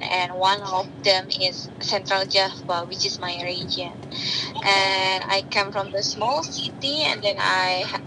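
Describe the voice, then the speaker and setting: A woman in her thirties from Indonesia with an Indonesian accent, speaking halting non-native English with restarts as an interview guest. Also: medium pitch. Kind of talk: casual interview. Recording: loud traffic noise behind.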